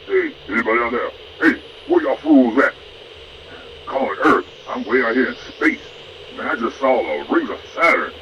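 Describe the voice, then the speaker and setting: A man's voice: mid-range voice, huge pitch swings, normal speed. Guy doing brash space drifter on cb, dull far-off sound, calling out for anybody. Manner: calling out, slangy. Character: brash lost caller adrift in outer space. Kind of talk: CB radio skit. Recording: muffled, distant radio with faint noise.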